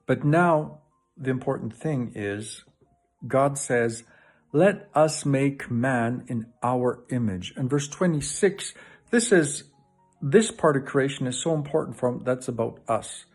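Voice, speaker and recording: A man's voice: mid-range voice, slow, faint music behind. A middle-aged man from Canada, a professor and lay preacher, giving an enthusiastic greeting and Bible teaching with frequent restarts.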